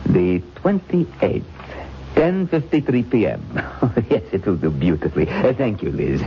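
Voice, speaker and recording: Deep-voiced, pompous, vengeful scholar. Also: male voice, big pitch swings, measured pace. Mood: sly. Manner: pompous, theatrical, formal diction. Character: vengeful, arrogant male occult scholar with theatrical menace. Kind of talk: 1970s radio drama acting. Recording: dull old radio recording with faint noise.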